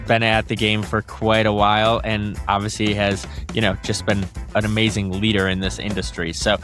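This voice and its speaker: A man's voice: on the low side, flat delivery, normal speed, music in the background. A man co-hosting a podcast, opening the show and introducing a guest in an upbeat way. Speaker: podcast co-host. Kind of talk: interview intro and questions.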